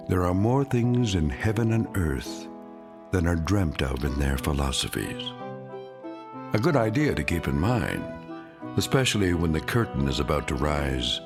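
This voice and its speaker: A man's voice: rumbling low, sing-song, unhurried, full character voice. A man acting as a knowing, wry storyteller, narrating an eerie tale in scripted radio drama style. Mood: knowing, measured. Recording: music bed behind.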